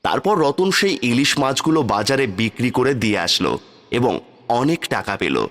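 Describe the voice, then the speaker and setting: A man's voice: medium pitch, big pitch swings, fairly quick, a bit bright, a little hiss or hum. A man narrating a scripted cartoon fairy tale as its storyteller.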